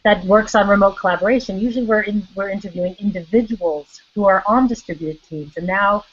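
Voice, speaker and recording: A woman's voice: medium pitch, a little fast, lo-fi sound. A woman hosting an online interview over a video call, upbeat and eager as she welcomes her guests. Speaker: interview host.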